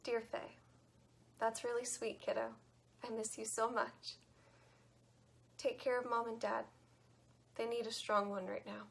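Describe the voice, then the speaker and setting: A woman's voice: fairly high pitch, little pitch movement, a little slow, gravelly, pauses often, a bit bright. A young woman acting a teenage girl, wry and wistful, reading letters in a stage monologue. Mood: tender, sad. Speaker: stage actor.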